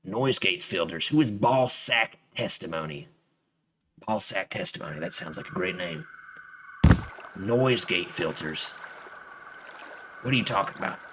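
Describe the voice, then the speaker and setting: Low-voiced, animated male game streamer. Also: a little slow, vocal fry, pauses often, background noise. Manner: jokey, profane, rambling. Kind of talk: casual gameplay commentary. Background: game music.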